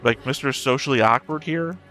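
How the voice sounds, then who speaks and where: A man's voice: medium pitch, fairly quick, bright, forceful tone, faint music behind. A man hosting an anime reaction channel, talking casually and thinking aloud in a rambling way.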